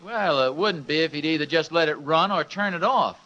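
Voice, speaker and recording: A man's voice: on the high side, a little fast, sharp tone, muffled recording. A man playing a fussy, grumbling suburban husband with hesitant delivery in a mid-century radio sitcom, on a noisy old recording. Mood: exasperated. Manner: hesitant, exasperated, repetitive.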